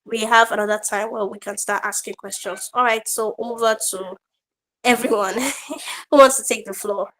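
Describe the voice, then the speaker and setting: A woman trainer hosting an online feedback session, talking casually with many fillers, her voice a bit croaky today. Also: on the high side, fairly even intonation, normal speed. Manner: warm, rambling, with fillers and laughs. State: slightly croaky voice. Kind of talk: casual online class talk. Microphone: online video call.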